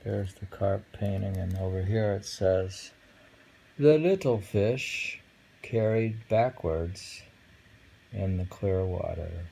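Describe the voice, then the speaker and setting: A man reading haiku aloud casually, musing and halting, murmuring to himself between poems. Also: deep voice, gravelly, mellow, a little hiss or hum, rough recording. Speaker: reader of a haiku book. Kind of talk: casual poetry read-aloud with musing commentary.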